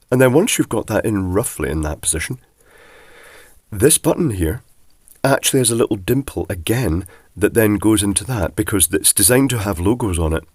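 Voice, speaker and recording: A man with a cold, sounding bunged up and croaky, casually explaining electronics as he takes a device apart. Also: on the low side, a little mellow, dry recording. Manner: chatty, rambling asides. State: congested from a cold, husky. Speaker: electronics teardown presenter.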